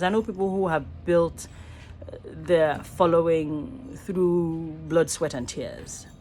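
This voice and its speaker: A woman's voice: lowish voice, a little hiss or hum. Earnest Nigerian woman vlogging on her phone. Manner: earnest, rambling, stops to rephrase. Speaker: actor and voice artist. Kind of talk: casual selfie vlog musing. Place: small indoor room. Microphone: phone at arm's length.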